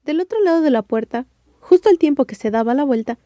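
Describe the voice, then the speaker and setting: A woman's voice: sits high, lively intonation, fast, warm tone, no room sound. A woman with a Mexican accent narrating an audiobook story in a wry, scripted style.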